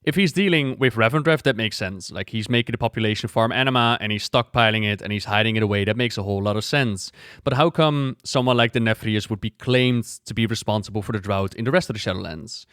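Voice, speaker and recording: A man giving casual, excited and conversational reaction commentary as a gaming lore creator. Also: lowish voice, fast talker, clean tone, no echo, studio quality.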